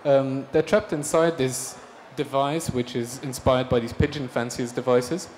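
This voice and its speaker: A man from Belgium giving a conference talk about his design projects, relaxed and hesitant, with frequent ums. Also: medium pitch, measured pace. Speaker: speaker presenting design projects. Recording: reverberant hall with faint noise.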